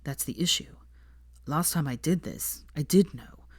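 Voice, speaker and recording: A woman doing a low, troubled young man. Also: a little slow, no room sound, studio quality. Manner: low, subdued, breathy delivery. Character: anxious young man, strained, distressed.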